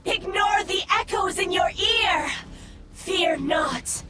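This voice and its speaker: A villainess who shouts in a very high voice and stretches every word out slowly, like a theatrical proclamation. She is heard over loud game music. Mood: grandiose. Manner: declaiming, slow and theatrical.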